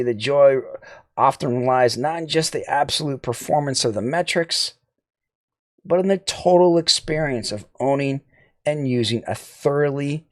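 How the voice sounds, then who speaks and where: A man with a medium-pitched voice presents a product review in a semi-scripted way. He speaks as the host of a gear channel. The recording is dry, with little room sound. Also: no echo.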